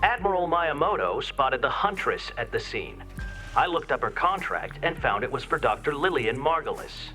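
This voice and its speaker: Animated, indignant senator. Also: male voice, mid-range voice, moderate pace, soft background music, rough recording. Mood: firm. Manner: forceful, accusatory. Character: exasperated, indignant male senator in a conspiracy. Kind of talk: scripted video game cutscene acting.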